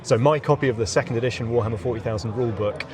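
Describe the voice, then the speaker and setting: A man's voice: medium pitch, racing. A man chatting casually as an interview guest, a game designer talking outdoors at an event. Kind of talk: casual chat. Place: outdoors in a courtyard at a gaming event. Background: crowd chatter.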